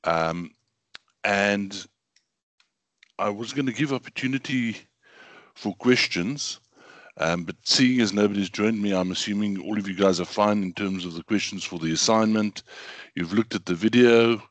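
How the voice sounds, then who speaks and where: A middle-aged man lectures online in a slightly hoarse, lowish voice, talking loosely with many ums and repeated words. His computer mic sounds dry and close, with no room around him. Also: normal speed, dry recording. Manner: casual, filler-heavy, repeats words. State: hoarse from bronchitis.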